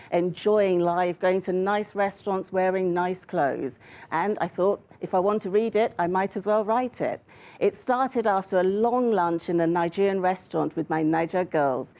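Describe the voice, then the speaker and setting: Creaky, low-pitched woman reading. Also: a little mellow. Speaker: author. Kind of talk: scripted talk and audiobook-style reading. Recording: music bed under the voice. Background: music.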